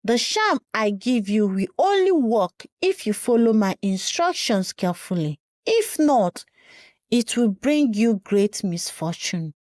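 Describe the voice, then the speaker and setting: Woman voicing a slow, grave old village healer. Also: on the high side, big pitch swings, darkish tone, dry recording, clean studio sound. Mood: grave warning.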